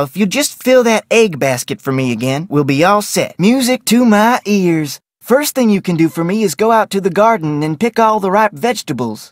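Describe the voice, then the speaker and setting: An actress playing a chatty, bossy, easily rattled ranch cook in an adventure game, talking in a folksy, emphatic way. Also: female voice, on the low side, no room sound, studio quality. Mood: wry, chatty. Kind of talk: scripted adventure game character acting.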